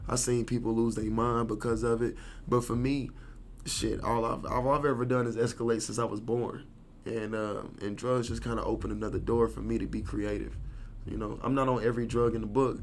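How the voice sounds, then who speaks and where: A man's voice: medium pitch, level tone, fairly quick, a little hiss or hum. A man in his thirties from Kansas City, a rapper talking loosely and slangily as an interview guest. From Kansas City, USA. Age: 30s. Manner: laid-back, slangy, rambling. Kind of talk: casual interview chat.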